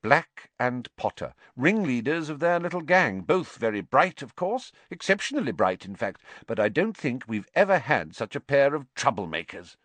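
A man's voice: medium pitch, sing-song, moderate pace, hardly any pauses, no echo, voice pushed up. Man as a stern older schoolmistress. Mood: brisk, precise. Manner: sharp, precise.

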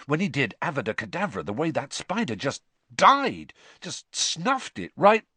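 Man acting teenage schoolboy, eager, colloquial, animated pitch, audiobook character, dry close sound. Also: unhurried, husky, dry recording, exaggerated voice. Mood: impressed. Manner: colloquial, impressed. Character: eager, excitable teenage schoolboy, plain-spoken.

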